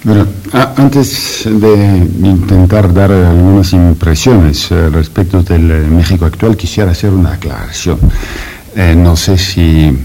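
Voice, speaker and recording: A man with a French accent answering interview questions in careful, halting speech full of hesitations and restarts. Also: deep voice, mellow. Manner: slow, halting with many "eh" fillers and restarts. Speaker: interview guest, French academic.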